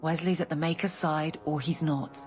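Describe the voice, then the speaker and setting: A woman plays a firm, loyal guardswoman in a fantasy game. Her voice is on the low side and earnest, with faint music underneath. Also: steady tone, normal speed, natural voice. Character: steadfast, no-nonsense female guard officer. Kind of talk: dramatic game cutscene acting.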